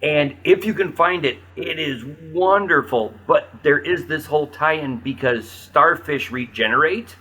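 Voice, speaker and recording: Guy on a podcast panel, raspy bright voice, casual talk. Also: fairly high pitch, a little slow, hardly any pauses.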